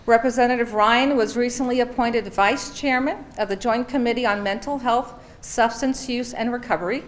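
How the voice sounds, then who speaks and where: A woman's voice: fairly high pitch, steady tone, normal speed, slight background noise. A woman introducing speakers at a graduation ceremony, reading out titles and stumbling now and then over names. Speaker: program presenter introducing speakers.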